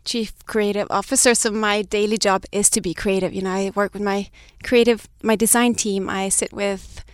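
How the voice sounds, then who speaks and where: A woman's voice: medium pitch, steady tone, normal speed, no room sound, clean studio sound. A woman in her thirties who grew up in Sweden, answering interview questions as a fashion brand founder, reflective and restarting her sentences. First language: Danish or Swedish. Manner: reflective, with frequent restarts and light laughs.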